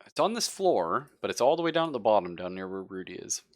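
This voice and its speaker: A man's voice: lowish voice, sing-song, fast talker. A man speaking as game master, improvising narration of a tabletop game in an informal, explanatory way.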